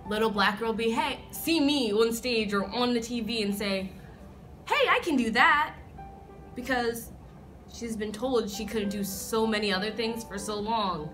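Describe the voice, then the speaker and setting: A woman's voice: a little high, fairly bright tone. A woman speaking hopefully and sincerely in an interview. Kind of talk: interview soundbite.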